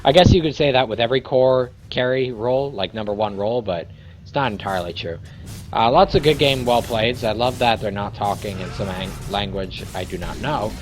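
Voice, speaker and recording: A man doing casual play-by-play commentary on a video game match, excitable and rambling, swearing now and then, his voice a little raspy. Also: fairly low pitch, fairly quick, faint music behind. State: voice getting raspy. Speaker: game caster. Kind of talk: amateur esports play-by-play commentary.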